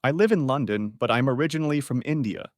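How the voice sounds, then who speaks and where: A man teaching beginner English, reading short model sentences clearly and saying each one twice. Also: medium pitch, animated, no echo, studio quality. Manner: says each phrase twice, clearly and deliberately.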